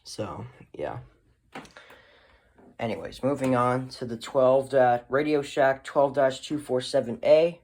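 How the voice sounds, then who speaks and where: A man's voice: medium pitch, takes it slowly, a little mellow. A man giving a casual, unscripted show-and-tell, rambling and often trailing off into short asides. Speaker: hobbyist video host.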